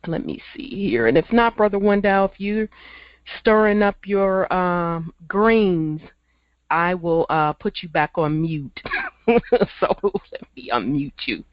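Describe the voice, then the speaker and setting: A man hosting a call-in radio show, hoarse from a cold, talking in a laid-back, chuckling, folksy way. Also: high-pitched, slow, dry recording, muffled recording. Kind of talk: casual call-in radio chat.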